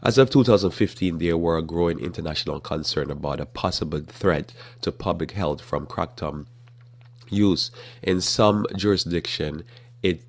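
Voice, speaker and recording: A man hosting a live talk radio program, reading aloud and commenting in a halting way with many fillers and self-corrections. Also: extremely low pitch. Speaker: radio talk show host.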